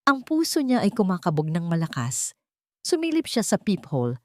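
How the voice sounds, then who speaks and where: A woman's voice: medium pitch, huge pitch swings, normal speed, dry recording, studio quality. A woman with a Filipino accent narrating a dramatic scripted story.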